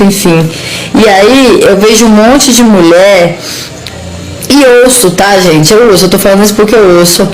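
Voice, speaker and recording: A woman's voice: mid-range voice, low-quality recording. Woman, medium voice, laid-back explaining, lots of "sabe por quê?". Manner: unhurried, explanatory, asks rhetorical questions. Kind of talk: casual chat to camera.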